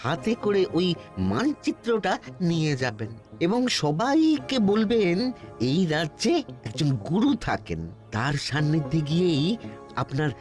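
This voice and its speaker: Man acting a slow-talking, cunning henchman. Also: on the high side, big pitch swings, darkish tone, faint music behind. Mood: conspiratorial. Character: cunning, cold-blooded conspiring henchman. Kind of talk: exaggerated cartoon voice acting.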